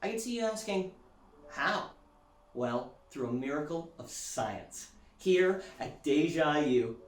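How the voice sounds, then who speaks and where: An actor playing a showy, self-satisfied celebrity musician, delivering a gushing, theatrical sales pitch with dramatic emphasis. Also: male voice, slight background noise, lightly acted. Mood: gushing, grand. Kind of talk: theatrical infomercial-style pitch in an audio drama. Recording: roomy echo, music underneath.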